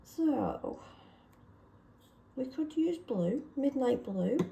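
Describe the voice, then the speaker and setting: Chatty, slightly hoarse woman. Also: high voice, lively intonation, drawn-out words, warm tone, reverberant. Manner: self-deprecating, chatty, laughs often. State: slightly hoarse, sore throat. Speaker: craft vlogger. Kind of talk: casual chat while painting.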